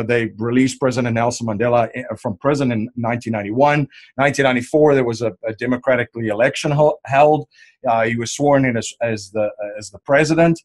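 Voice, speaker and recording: South African man, 30s, relaxed interview guest, meandering answers full of fillers. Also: medium pitch. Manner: rambling, many fillers and restarts. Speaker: podcast host and wealth strategist guest.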